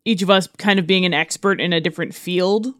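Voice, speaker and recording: Halting, casual woman, dry sound. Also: lowish voice, fairly even intonation, fairly bright tone, no room sound. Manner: halting, heavy use of "like". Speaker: podcast co-host. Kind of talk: casual chat.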